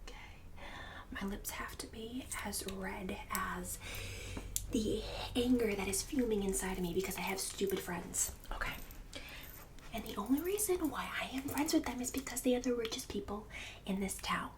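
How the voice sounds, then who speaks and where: A woman's voice: medium pitch, lively intonation, normal speed, distant mic, noisy background, close to an everyday voice. A woman playing a pushy, boastful suburban mother in an ASMR roleplay, condescending and fake-sweet, often dropping into a whisper. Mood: annoyed, contemptuous. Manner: condescending, fake-sweet, snide, passive-aggressive.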